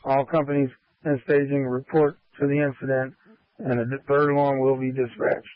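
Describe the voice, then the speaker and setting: A man's voice: mid-range voice, flat delivery, normal speed, no echo. A man giving formal fire dispatch calls over a two-way radio. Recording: tinny phone-like scanner radio audio.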